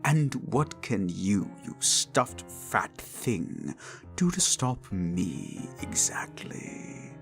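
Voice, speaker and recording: A menacing male incubus in an ASMR roleplay, very low and extremely slow. The voice creaks and swoops theatrically, slipping into sinister whispers and relishing its mockery over background music. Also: big pitch swings, heavily acted. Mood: contemptuous. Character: sadistic male incubus, nightmare incarnate, menacing and mocking.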